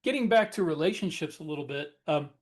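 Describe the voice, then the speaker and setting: Author co-host, chatty male storyteller, slightly high voice. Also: lively intonation, fast. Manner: chatty storyteller, laughs easily. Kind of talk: casual panel discussion.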